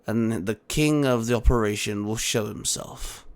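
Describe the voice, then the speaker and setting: Man voicing a grave, measured mentor. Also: fairly low pitch, clear, resonant tone. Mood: explanatory. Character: calm, grave male mentor guarding the multiverse. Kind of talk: dramatic audiobook character acting.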